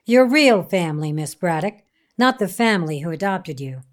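A woman's voice: on the low side, expressive, normal speed, lightly acted. A woman playing a formal, businesslike private investigator in an audio horror drama. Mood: firm, formal. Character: brisk, formal female private investigator delivering documents.

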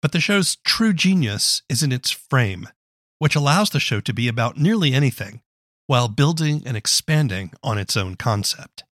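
A man hosting a podcast, reading scripted narration in a measured, presentational way. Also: mid-range voice, big pitch swings, fairly bright tone, no room sound, studio quality. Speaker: podcast host. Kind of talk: scripted narration and interview questions. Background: guitar music.

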